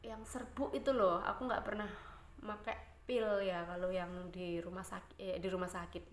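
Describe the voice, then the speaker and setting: A woman vlogging from home with a stuffy, congested voice, chatting to viewers in a rambling way with many fillers. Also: mid-range voice. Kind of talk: casual chat to viewers. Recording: echoey room, faint ambient noise.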